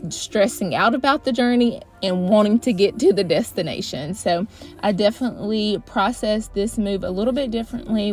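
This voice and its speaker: A woman in her thirties chatting casually in a voice-over for her cleaning vlog, relaxed and full of fillers. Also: medium pitch. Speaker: cleaning vlogger.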